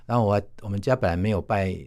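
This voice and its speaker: A man's voice: fairly low pitch, rapid-fire, darkish tone. A man in his forties with a Taiwanese Mandarin accent, recalling his own life informally as an interview guest. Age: 40s. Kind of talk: informal personal recollection in an interview.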